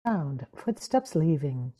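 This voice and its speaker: A woman's voice: deep voice, big pitch swings, slow pace, mellow. A woman reading out sound-effect cues as terse stage directions. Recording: noisy, distant online-call audio.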